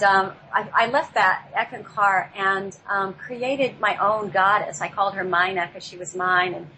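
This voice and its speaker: A woman telling a personal story on a talk show in a halting, reflective way, picked up by a laptop microphone. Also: mid-range voice, pushed and bright. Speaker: guest telling their life story. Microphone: laptop built-in microphone, not headset. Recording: noisy, off-mic laptop microphone.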